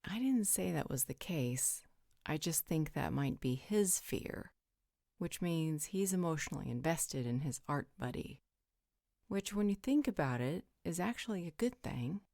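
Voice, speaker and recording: A composed, gently teasing vampire woman acted by a woman in a roleplay drama. Her voice sits low, with a soft dark tone. It is recorded very dry. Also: expressive, a little slow, gravelly, no echo, exaggerated voice. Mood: calm, reassuring. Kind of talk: roleplay audio drama acting.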